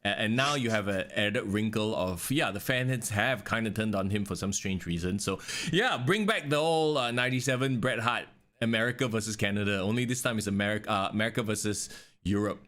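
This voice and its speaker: A man, co-hosting a casual wrestling podcast, talking in an animated way and swearing casually. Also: lowish voice, brisk. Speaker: podcast co-host.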